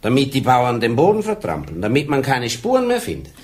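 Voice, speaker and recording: An actor playing a gruff, blunt older police sergeant with a dry wit. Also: male voice, mid-range voice, wildly swooping pitch, fairly quick, a little mellow. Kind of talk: 1980s radio drama acting.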